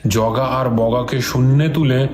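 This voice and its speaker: A man's voice: mid-range voice, steady tone, a little slow. A man giving scripted narration for a cartoon story. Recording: roomy echo with clear music underneath.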